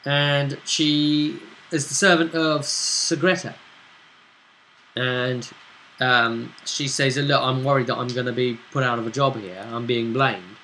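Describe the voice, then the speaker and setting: A British man speaking as a game master, recapping a tabletop role-playing story in a halting, conversational way. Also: mid-range voice, fairly even intonation, pushed and bright, slight background noise, poor audio.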